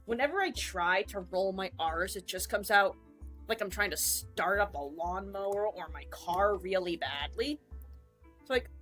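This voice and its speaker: A woman's voice: medium pitch, expressive, measured pace, a bit bright, soft background music. A woman streamer in casual rambling chat, jokey and self-mocking.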